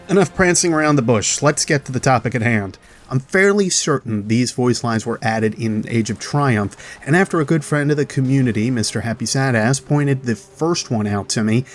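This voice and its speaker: A man's voice: medium pitch, expressive, normal speed. A man hosting a video, giving chatty, explanatory commentary as a voice-over about game lore. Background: music.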